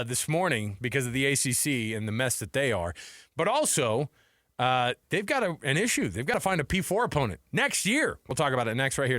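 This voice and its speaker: A male sports radio host with a mid-pitched voice, recorded dry and close in a studio. He talks in a loose, informal, conversational style, chatting to listeners and his co-host like buddies. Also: fairly bright tone, dry recording. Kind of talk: casual sports talk radio.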